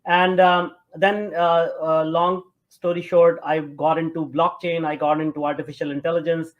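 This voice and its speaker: A man from Punjab with a North Indian accent, answering as an interview guest in a halting, conversational way with many fillers. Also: a little high, level tone, highs cut off. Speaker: interview guest, tech entrepreneur.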